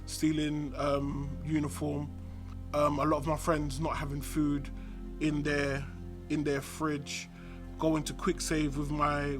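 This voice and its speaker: South london guy, young adult, flat voice, keeps saying "you know", music playing behind. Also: on the high side. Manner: reflective, repeats "do you see what I'm saying". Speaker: documentary interviewee. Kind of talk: personal testimony.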